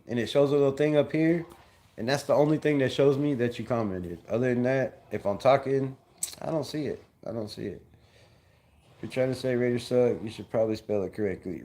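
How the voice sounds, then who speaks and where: A man's voice: mid-range voice, darkish tone. Slangy young man hosting a fan livestream. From Southern California. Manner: loose, slangy, repeats himself. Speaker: fan channel livestream host. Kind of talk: casual livestream chat reading comments.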